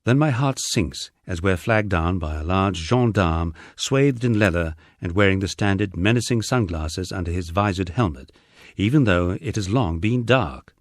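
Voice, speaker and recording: A man's voice: low-pitched, lively intonation, moderate pace, no room sound, studio quality. A man reading a humorous memoir as scripted audiobook narration, with a wry storytelling manner.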